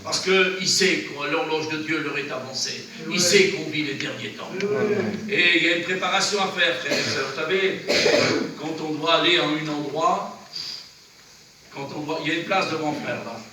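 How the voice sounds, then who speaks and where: A man's voice: fairly high pitch, normal speed, crowd noise. A man preaching a sermon in church, who has a cold and a runny nose, exhorting earnestly in short phrases. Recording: very echoey church, from far off, noisy.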